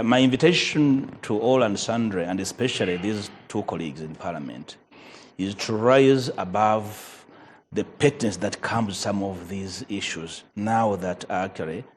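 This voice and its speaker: A Ugandan man whose first language is Luganda, a member of parliament arguing in a TV panel debate, measured and emphatic. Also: on the low side, darkish tone, a little hiss or hum. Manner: measured, emphatic, rising on key points.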